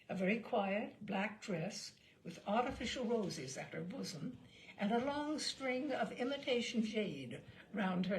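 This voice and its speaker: A woman narrating a literary short story in a measured, articulate, expressive way. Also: medium pitch, gravelly, rough recording. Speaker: storyteller, reader.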